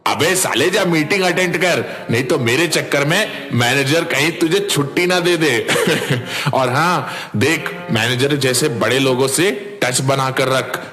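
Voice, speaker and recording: A man's voice: mid-range voice, unhurried, sharp tone. A man voicing a brash, chummy young friend in a cartoon, with slangy, teasing talk. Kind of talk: Hindi cartoon story dialogue. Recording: background music under voice.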